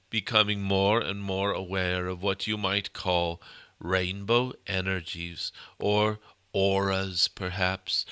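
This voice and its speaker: Casting: male performer as ancient dragon teacher, low and very clean-toned, solemn, benevolent, humming between phrases in channeled sermons. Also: pushed and bright, smooth, no room sound, heavily acted. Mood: patient, reassuring. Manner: solemn, halting, punctuated by humming mm sounds. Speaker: channeler giving spiritual messages. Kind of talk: channeled spiritual discourse.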